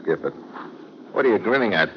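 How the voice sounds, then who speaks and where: Guy acting a tough american detective, low voice, clipped n wry, old radio drama, muffled and noisy. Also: expressive, a little fast, natural voice. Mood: suspicious, curt. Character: tough, wisecracking male American investigator on dangerous assignments. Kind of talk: 1950s radio drama acting, hard-boiled narration.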